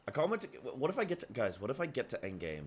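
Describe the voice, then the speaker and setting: A man streaming from his apartment, chatting casually, thinking aloud and reading chat aloud. He has a deep, carrying voice and talks loudly by habit. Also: wildly swooping pitch, racing, husky. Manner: loud by habit. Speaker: live streamer. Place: apartment room at home.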